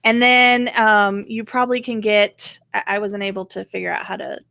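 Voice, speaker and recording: A woman hosts a casual political talk in a medium-pitched voice. She is blunt and sarcastic, swearing lightly as she goes. The sound is dry, with very little room around her. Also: flat delivery, normal speed, gravelly, pushed and bright, no room sound. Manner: blunt, sarcastic, mildly profane. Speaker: talk show host.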